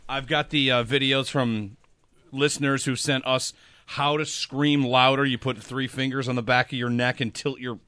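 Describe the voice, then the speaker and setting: A man hosting sports talk radio, chatting and joking in an energetic way from a studio. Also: mid-range voice, moderate pace, dry recording. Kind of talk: casual chat.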